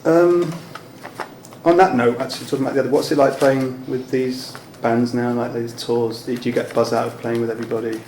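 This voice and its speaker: A man's voice: mid-range voice, level tone, a little fast, a little mellow. A man with an English accent asks informal, rambling questions in a casual interview chat. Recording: echoey room, some background noise.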